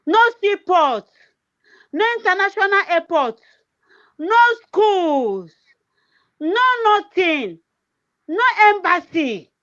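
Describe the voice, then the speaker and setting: A woman's voice: very high voice, fairly bright tone, highs cut off. A woman with a Nigerian accent, English not her first language, ranting passionately in a political livestream, repeating herself with many "eh" fillers. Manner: repetitive, emphatic, heavy filler "eh". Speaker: activist livestreamer. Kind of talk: impassioned political rant.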